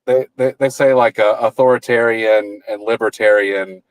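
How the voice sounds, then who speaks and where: A man in his thirties speaks in a somewhat low voice, rambling through his points and tripping over repeated words as he restarts sentences. He is a candidate talking as a guest in a casual interview over a call. The sound is dry, with no room around it. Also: fairly even intonation, moderate pace, no room sound. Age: 30s.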